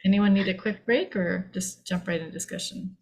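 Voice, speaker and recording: A woman's voice: mid-range voice, brisk, creaky, darkish tone. A woman hosting a casual group chat, talking informally to the others on the call. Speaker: host of an online script table read.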